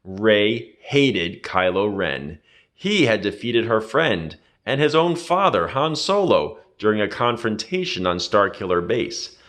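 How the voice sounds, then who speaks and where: A man's voice: fairly low pitch, big pitch swings, slow pace, a bit bright. A man reading a children's adventure story aloud with dramatic, emphatic storytelling. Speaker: storybook reader.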